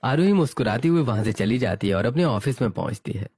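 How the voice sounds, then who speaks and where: A man's voice: mid-range voice, lively intonation, quick pace, a little mellow, a little hiss or hum. A man narrating a scripted family story.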